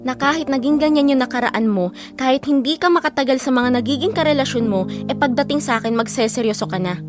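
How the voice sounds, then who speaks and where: A woman's voice: high-pitched, fast talker, music in the background, natural voice. A woman acting a hurt, guarded young woman in an emotional, pleading but firm manner for an audio drama.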